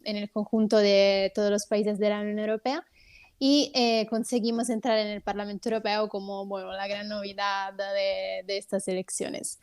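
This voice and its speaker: A woman's voice: medium pitch, little pitch movement, moderate pace, darkish tone, smooth. A woman with an Italian accent, a native Italian speaker answering interview questions as a guest politician, explaining things at length with frequent hesitant fillers.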